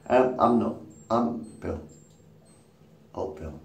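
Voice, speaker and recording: Gravelly man in his fifties, lively rambling talk, echoey room, a bit off-mic. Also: on the low side, slow, recorded from a distance. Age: 50s. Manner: rambling, swearing, laughing at his own jokes. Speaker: vlogger. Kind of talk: rambling casual monologue to camera. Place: small room indoors. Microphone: phone camera at arm's length. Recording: roomy echo, from the mic, faint background noise.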